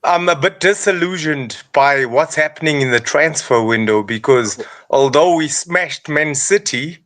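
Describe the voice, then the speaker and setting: Male guest from Zimbabwe, wistful, gentle, a bit higher voice. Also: a little slow. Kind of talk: casual football chat on a live call.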